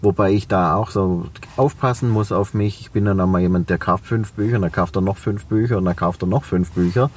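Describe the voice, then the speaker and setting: A man's voice: sits low, brisk, hardly any pauses, distant mic. Swabian man, reflective and rambling. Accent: Swabian German. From Swabia, Germany. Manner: reflective, rambling with many fillers. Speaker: guest, cleaning company owner. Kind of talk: podcast interview.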